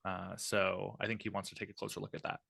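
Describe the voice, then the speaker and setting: A man's voice: low voice, level tone, fast, gravelly, bright, forceful tone, slightly rough. A man casually describing his character's plan as a player in a tabletop roleplaying game.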